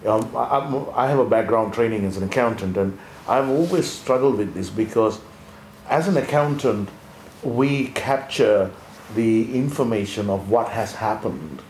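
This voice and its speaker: A man's voice: lowish voice, animated, a little slow, darkish tone, reverberant, slight background noise, rough recording. A man from Sri Lanka, a former accountant, talking through business ideas in a thoughtful way and often restarting his sentences. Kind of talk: conversational leadership discussion.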